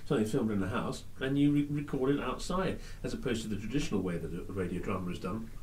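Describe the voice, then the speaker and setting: A man's voice: on the low side, quick pace, darkish tone. Male actor, keen and chatty, recorded from a distance in an echoing room. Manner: enthusiastic, joking, rambling with restarts. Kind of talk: casual interview chat. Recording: roomy echo, distant from mic, faint noise.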